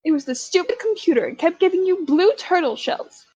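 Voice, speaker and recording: A high, exuberant voice for a playful, pushy young man in an audio fan drama, played by a woman. He is dramatic and excitable, on a dry, slightly dull home recording. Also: a little fast, no echo. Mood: indignant. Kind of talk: audio fan fiction acting.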